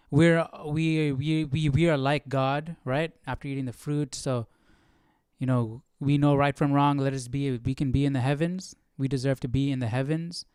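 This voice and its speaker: A man with a fairly high, very clear voice gives informal commentary as a livestream host. He muses and thinks aloud conversationally. The sound is dry, with no room echo. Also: darkish tone. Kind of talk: casual reaction commentary.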